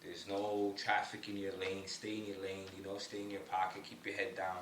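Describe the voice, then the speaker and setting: A man's voice: low-pitched, completely flat delivery, moderate pace, a bit bright, recorded from a distance. A man speaking casually as a podcast guest, slangy and emphatic, with motivational advice-giving delivery. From born in Somalia, lived in Ottawa, Canada. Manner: slangy, emphatic, motivational, peppered with "you know what I mean". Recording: roomy, off-mic, faint background noise.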